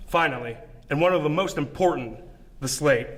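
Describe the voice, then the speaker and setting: A man's voice: fairly high pitch, moderate pace, fairly bright tone. A man presenting scripted instructional tips on acting.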